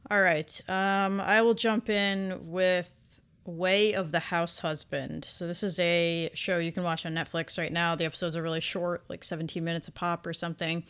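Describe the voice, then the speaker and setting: A woman with a moderately low voice talks as a podcast co-host in a casual review discussion. She is enthusiastic and leans on her words for emphasis, keeping things conversational. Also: steady tone, moderate pace, a bit bright, clean tone.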